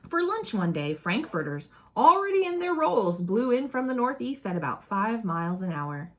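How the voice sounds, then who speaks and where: Woman reading a storybook, very animated pitch. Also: moderate pace. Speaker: children's picture book reader. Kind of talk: expressive read-aloud storytelling with asides.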